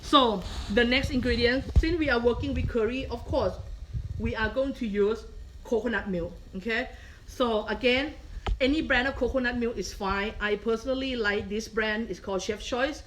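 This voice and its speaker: A woman's voice: fairly high pitch, normal speed, a bit bright, a little hiss or hum, low-quality recording. Thai-accented woman teaching a cooking demo. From Thailand. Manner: patient, checks in with frequent "okay?". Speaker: culinary professor. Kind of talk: live cooking demonstration. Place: kitchen.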